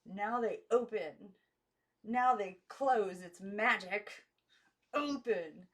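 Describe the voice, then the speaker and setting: A woman's voice: mid-range voice, drawn-out words, a bit bright, voice pushed up. A woman voicing a bossy, showy young girl in a storybook, explaining things in an imperious, scornful way. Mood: showing off. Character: bossy, showy young girl playing know-it-all teacher.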